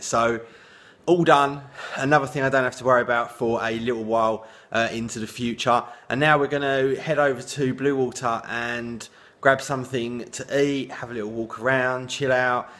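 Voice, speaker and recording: A man with a hoarse, croaky voice, chatting casually in a rambling vlog with lots of filler sounds. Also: mid-range voice, fairly bright tone. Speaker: vlogger.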